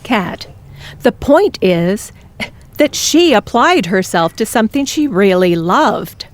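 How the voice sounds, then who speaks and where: A woman playing a slow, firm mom. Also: medium pitch, very animated. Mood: reflective. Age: middle-aged. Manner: measured, insistent. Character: firm, pushy middle-aged mom, a doctor. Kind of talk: audio drama acting.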